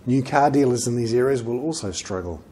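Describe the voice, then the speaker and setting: A middle-aged New Zealand man presents economic commentary in a soft-edged, mellow voice of medium pitch. He speaks in measured phrases, stressing key points with deliberate pauses. The lapel-mic recording carries faint background noise. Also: animated. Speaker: financial journalist presenting an economic report. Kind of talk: presented news commentary to camera.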